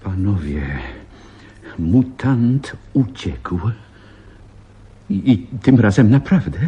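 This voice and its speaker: Courteous, formal professor, lowish mellow voice with lively intonation, dull radio drama recording. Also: male voice, slow. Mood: grave. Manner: polite, formal. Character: courteous, apologetic professor, an accomplice uncle. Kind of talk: Polish radio play acting.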